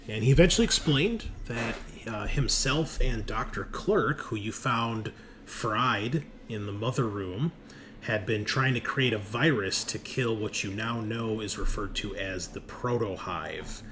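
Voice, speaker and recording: A man working as game master, recapping a tabletop roleplaying session in a halting, informal way. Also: lowish voice, noisy background.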